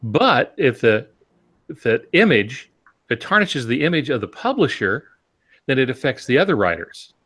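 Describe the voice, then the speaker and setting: A man's voice: medium pitch, very animated, moderate pace, fairly bright tone, husky. Male podcast panelist, conversational explainer who reasons step by step and poses rhetorical questions. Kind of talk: casual explanatory discussion.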